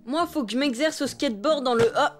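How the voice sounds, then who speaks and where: A woman plays a young boy elephant in a French cartoon. The voice is high and boyish, with a casual, stubborn, energetic delivery over faint music. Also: fairly quick, lightly acted. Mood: eager.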